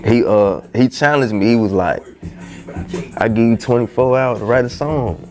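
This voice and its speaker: A man's voice: mid-range voice, normal speed, a little mellow, faint music behind. A young man, a rapper telling his story as a podcast guest in loose, slangy talk. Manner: loose, slangy, peppered with "you know what I'm saying". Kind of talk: casual storytelling interview.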